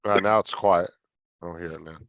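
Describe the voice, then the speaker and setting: A man with a fairly low voice ribbing his friends and reading out chat messages. His mic sounds dull and lo-fi, with a faint hiss underneath. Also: fairly even intonation, a little fast, a bit bright. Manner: teasing, reads out chat comments. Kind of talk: casual group chat.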